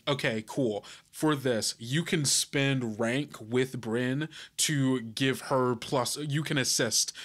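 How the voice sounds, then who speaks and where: A man working as game master, narrating a tabletop adventure in halting, deliberate phrases. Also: medium pitch, fairly bright tone.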